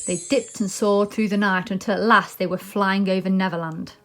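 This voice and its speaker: A woman's voice: mid-range voice, steady tone, moderate pace, a bit bright, soft background music. A woman narrating a children's storybook expressively. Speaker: storybook reader.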